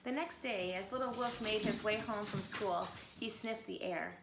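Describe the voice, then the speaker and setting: A woman teacher reading a storybook aloud to children at home, pausing gently to ask them questions. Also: mid-range voice, echoey room, music in the background. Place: home, pets nearby. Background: dogs playing.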